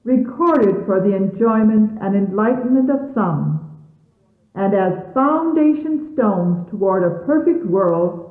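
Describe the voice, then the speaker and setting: A woman reading religious messages aloud in a solemn, deliberate, reverent and formal manner. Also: mid-range voice. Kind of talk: solemn scripted reading of sermons. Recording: muffled, echoey 1970s phone-like tape.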